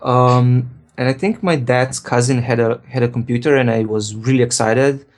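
Man from slovenia, guest on a tech interview, relaxed, thinks aloud, mid-pitch voice. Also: normal speed. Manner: relaxed, thinks aloud with ums and self-corrections. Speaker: programmer and guest. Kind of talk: casual interview answers.

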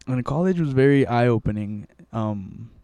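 Rambling young man, smooth dry voice. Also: medium pitch, animated, measured pace, warm tone, no echo. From Mexico. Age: young adult. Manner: rambling, many restarts and fillers. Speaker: interviewee. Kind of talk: casual conversational interview.

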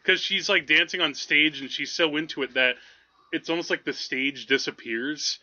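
A man co-hosting a movie podcast, talking casually in a halting, digressive, amused way. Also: fairly high pitch, pushed and bright, no echo. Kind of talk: casual film review chat. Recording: slightly dull, lo-fi podcast audio.

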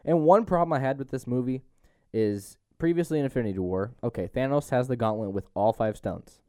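A young man hosting a podcast, chatting casually through a movie review with animated recaps and informal asides. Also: medium pitch, fairly quick, clean tone, no echo, studio quality. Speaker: podcast host.

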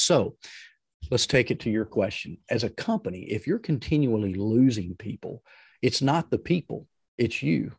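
A middle-aged man with a low, loud voice in a dry, close recording. He speaks as an interview guest who coaches on leadership. His delivery is energetic and emphatic, hammering points home by repeating phrases. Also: no room sound. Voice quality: deep, loud voice. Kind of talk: explanatory interview talk with advice and personal stories.

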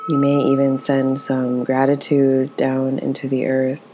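Very low-voiced woman guiding a meditation. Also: completely flat delivery, music in the background. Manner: gentle, soothing, unhurried instruction. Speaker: guided meditation teacher. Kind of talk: guided meditation narration.

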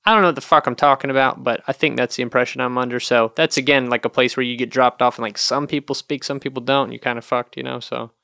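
An American man chats casually as a podcast co-host. His talk is slangy, crude and joking, at a middling pitch. The sound is dry, with very little room around it. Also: steady tone, quick pace, gravelly, few pauses, sharp tone, clean tone, dry recording. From United States. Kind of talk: casual profane banter.

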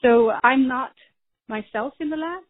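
A woman, speaking as a guest in a podcast interview, measured with frequent ums and restarts. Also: sits high, dry recording. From Sweden. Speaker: pharma executive guest. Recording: tinny phone-like line.

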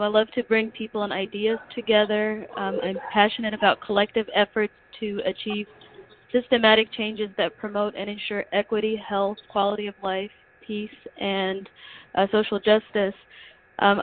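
A woman from Somalia gives an earnest, measured introduction over a phone line. Her intonation stays quite even, and a faint hiss sits behind her voice. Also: mid-range voice. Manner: earnest, measured, with soft fillers. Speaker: city official guest. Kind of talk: self-introduction on a call.

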